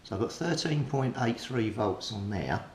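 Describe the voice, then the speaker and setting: A man's voice: animated, normal speed. A man giving an unscripted, methodical repair walkthrough as a hobbyist, thinking aloud as he works. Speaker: hobbyist radio repairer presenting his progress. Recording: roomy echo, clear rumbling background noise.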